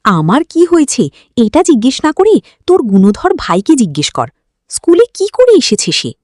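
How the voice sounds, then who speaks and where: A woman's voice: high voice, huge pitch swings, moderate pace, a little mellow, no echo, studio quality, voice pushed up, heavily acted. A woman voicing an angry, exasperated, sarcastic mother in an audio story. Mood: angry scolding. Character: angry, scolding middle-aged mother.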